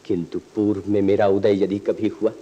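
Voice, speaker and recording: Man acting a low, husky, earnest idealist. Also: flat delivery, normal speed, mellow, muffled recording, natural voice. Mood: tender, wistful. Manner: declamatory, poetic, rhetorical. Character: earnest young idealist lover, solemn and resolute. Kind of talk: stage-like radio play acting.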